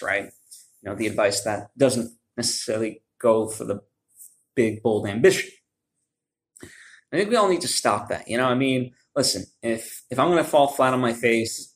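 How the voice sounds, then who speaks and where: A man talking in a casual, reflective vlog monologue, conversational and halting, often checking in with the listener as he goes. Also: mid-range voice. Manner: conversational, halting, often seeking agreement with "right?". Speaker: vlogger, writer.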